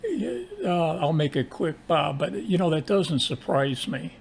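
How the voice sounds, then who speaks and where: A man talking casually as an amateur radio operator, halting and technical in his delivery. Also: on the high side, lively intonation, vocal fry. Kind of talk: casual ham radio exchange. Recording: constant hiss, ambient background noise.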